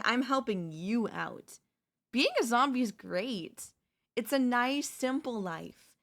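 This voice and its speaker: A woman's voice: a little high, a bit bright, heavily acted. A woman voicing a chatty female zombie who cheerfully and conversationally coaxes in a comedic audio roleplay. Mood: upbeat pitching. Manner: conversational, coaxing, wheedling. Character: chatty, cheerfully persuasive female zombie craving human flesh.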